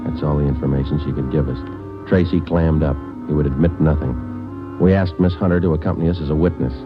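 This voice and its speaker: An actor playing a dogged, stoic police detective in a 1940s radio drama, speaking in clipped, matter-of-fact, deadpan sentences. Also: male voice, extremely low pitch, fast. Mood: dry, weary. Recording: muffled old radio recording with music.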